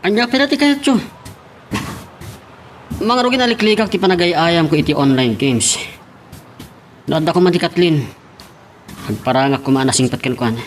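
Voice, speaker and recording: Man acting easygoing young Ilocano husband, higher-pitched. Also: animated, moderate pace, pauses often, slight background noise, lightly acted. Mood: casual. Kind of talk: Ilocano radio-style drama acting. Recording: music behind the voice.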